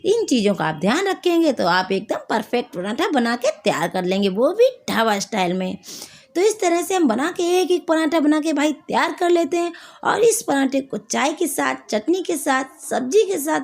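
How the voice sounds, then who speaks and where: A woman hosting a home cooking video, hoarse from a sore throat, chatting to viewers as she explains each step. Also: sits high, sing-song, moderate pace, a little mellow. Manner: chatty, addresses viewers directly. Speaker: cooking channel host.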